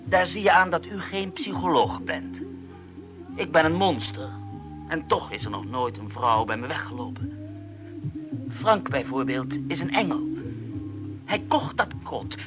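A brooding, noise-haunted loner. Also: male voice, on the high side, sing-song, a little slow, over music. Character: unsettled man, oversensitive to noise, speaking bleakly and calmly. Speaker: troubled man being questioned about a friend's death. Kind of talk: radio play acting.

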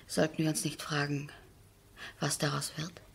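A woman acting in a 1970s German radio drama as a composed, resolute aristocratic wife, with measured, formal diction. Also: deep voice, flat delivery, gravelly, husky, heavily acted. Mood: grave, reasoning. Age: middle-aged.

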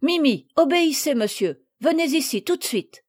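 A woman reading an audiobook, voicing a tender-hearted little girl with a high, eager childlike voice. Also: normal speed, dark tone. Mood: scolding. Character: sweet, tender-hearted little girl who spoils her bird.

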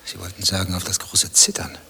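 A man's voice: deep voice, monotone, fairly quick, creaky, heavy background noise. An actor playing a sardonic, probing male skeptic in a radio play, questioning with dry sarcasm. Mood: sceptical, needling. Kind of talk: German radio play dialogue acting.

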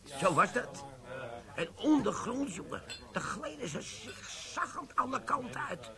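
An actor playing an older army sergeant who defuses bombs, in a radio play, telling war stories and giving brisk orders. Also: male voice, very high voice, sing-song, gravelly, a crowd in the background, exaggerated voice. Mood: storytelling. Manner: storytelling, then brisk and commanding. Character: gruff older male army bomb sergeant.

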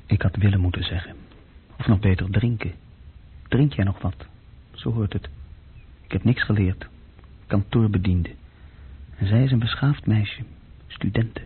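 Restless young clerk, thin phone-like sound. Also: male voice, on the low side, a little slow, long pauses, husky. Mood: self-reproaching. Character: young male office clerk, restless, self-reproaching, recounting his escapade. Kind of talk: radio play dialogue and recollection. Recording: thin, phone-like old radio recording.

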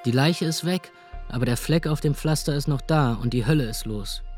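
A man narrating in the first person in a radio drama, rough and weary, with coarse language. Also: medium pitch, moderate pace, a little mellow, soft background music. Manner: rough, weary inner monologue with coarse words. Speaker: narrator and lead character.

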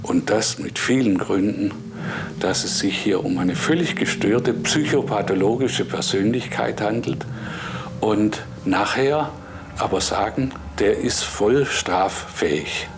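Creaky low male expert, emphatic interview talk, noisy recording. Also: takes it slowly. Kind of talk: interview commentary.

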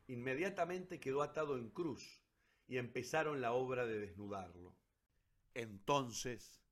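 A man narrating a literary story for radio theatre in a solemn, deliberate, dramatic way. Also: medium pitch, fairly bright tone.

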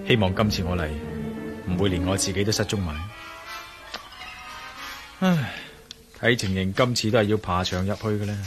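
An actor playing a bold, wary adventurer hero in a radio drama, speaking Cantonese with a Hong Kong accent in a wry, commanding manner. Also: male voice, on the low side, normal speed, long pauses, music in the background, lightly acted. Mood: wary musing. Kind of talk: radio drama acting with spoken inner monologue.

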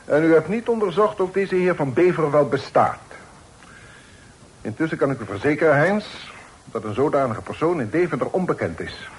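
A man's voice: fairly high pitch, animated, a little fast, close to an everyday voice. A man playing a stern, suspicious father and magistrate in a Dutch radio drama, speaking in a formal, reproachful way. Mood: commanding, interrogating. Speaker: radio play actor. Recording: faintly noisy old radio play recording.

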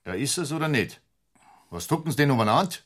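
An actor playing a brusque, sarcastic police superior with a Bavarian accent in a German radio crime drama. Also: male voice, medium pitch, big pitch swings, fast talker, husky. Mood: interrogating, sarcastic. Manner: brusque, condescending, commanding.

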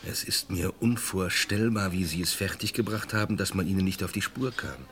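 A man's voice: low voice, normal speed, fairly bright tone. An actor playing a troubled, indignant man confronting a murderer in an old radio crime drama, earnest and reproachful. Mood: incredulous.

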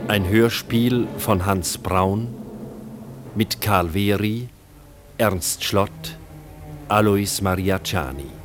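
A man giving a measured, formal radio play announcement, reading title and credits as an announcer. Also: on the low side, music in the background.

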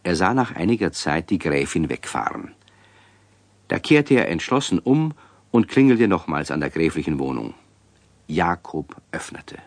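A man's voice: low voice, sing-song, moderate pace, no echo. A man narrating a scripted children's story for a radio play. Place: dry studio.

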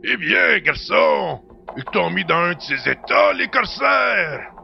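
An actor playing a gruff, anxious old space pirate sidekick in a science-fiction audio drama, with a creaky voice and animated delivery. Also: male voice, high voice, slow pace, dull audio, soft background music, exaggerated voice. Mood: blustering concern. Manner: animated, repeats himself, calls the hero "boy".

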